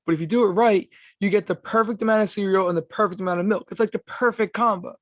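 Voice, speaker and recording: A raspy young man, talking loose and slangy as a podcast co-host. His voice sits a bit high for a man, recorded close and dry with no room sound. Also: steady tone, quick pace, fairly bright tone, no echo. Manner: laid-back slangy talk. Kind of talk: casual chat.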